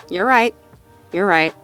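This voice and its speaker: A woman playing a supportive, playful girlfriend in an intimate one-sided roleplay audio, talking gently and encouragingly with light teasing and natural hesitations. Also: mid-range voice, slow, soft background music. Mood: playful, amused. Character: supportive, playful girlfriend helping partner problem-solve.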